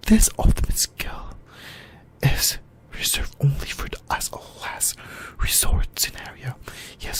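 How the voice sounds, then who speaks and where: A person whispering breathily in a halting ASMR explainer about anime lore, often repeating phrases. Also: fairly bright tone. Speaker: YouTuber, ASMR host.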